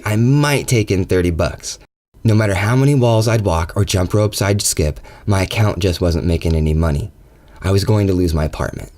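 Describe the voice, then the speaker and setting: A man narrating a first-person horror audio drama, his voice climbing higher and tighter as the dread builds. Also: darkish tone.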